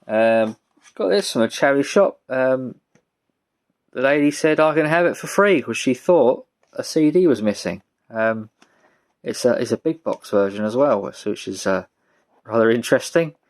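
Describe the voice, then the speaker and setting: Low-key British man, halting casual chat. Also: expressive. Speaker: vlogger showing a music collection. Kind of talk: casual show-and-tell chat.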